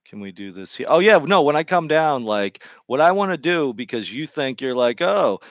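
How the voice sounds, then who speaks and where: A man's voice: fairly high pitch, big pitch swings, normal speed, no echo, lo-fi sound. A man with a New England accent chatting casually and rambling off on tangents while he gardens. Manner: chatty, digressive, self-deprecating. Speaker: gardening streamer.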